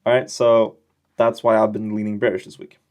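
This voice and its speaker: A man's voice: on the low side, little pitch movement, brisk, a little mellow. A young man giving a casual trading lesson over his screen, explaining in a loose, chatty way with slangy, joking asides and frequent check-in questions. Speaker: trading educator.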